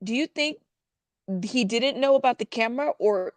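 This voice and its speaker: A woman hosting a casual live news commentary, chatting to viewers in an informal, halting way with emphatic repetition. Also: a little high, no echo.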